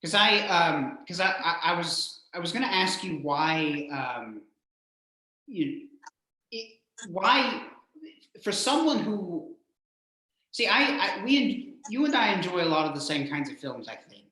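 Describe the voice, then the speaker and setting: Heavy room echo surrounds a man hosting a casual interview. His voice has a bright edge, and he speaks agreeably in halting bursts, repeating words as he forms questions. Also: sits high, very animated. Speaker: host, interviewer. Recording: very echoey, reverberant room.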